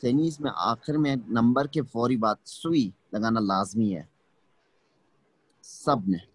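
A man teaching an online language class, explaining slowly and checking that students follow. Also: medium pitch, husky, dry recording. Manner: patient, explains slowly, checks understanding often. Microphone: video call.

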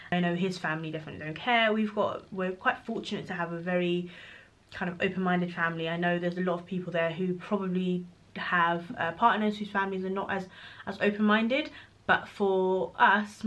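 A woman's voice: on the low side, fairly bright tone. Chatty woman vlogging to camera. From Congo. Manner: chatty and frank, talking straight to camera. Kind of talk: casual Q&A vlog. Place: bedroom nursery, indoors. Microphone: camera at close range.

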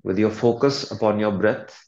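A man leading a guided meditation as a coach, speaking calmly and deliberately with long pauses and soothing instructions. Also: on the low side, echoey room, dull audio.

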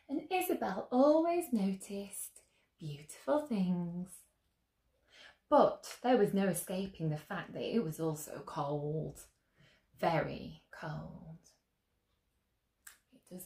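A young woman reading a picture book aloud to children as a storyteller, expressively, with gentle questions to her listeners. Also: mid-range voice. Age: young adult. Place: small room at home, indoors. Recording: roomy indoor echo.

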